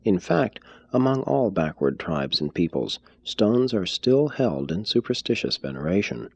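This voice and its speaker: A man reading a formal text aloud as scripted narration, in a measured, deliberate manner. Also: sits low, a little mellow, breathy edge, no room sound. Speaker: audiobook reader.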